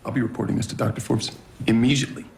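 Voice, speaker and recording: A man's voice: on the low side, fairly even intonation, rapid-fire, creaky, a little mellow. An actor playing a condescending, rule-bound male doctor who scolds his colleagues coldly. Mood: disdainful. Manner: cold, dismissive. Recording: echoey room, music behind.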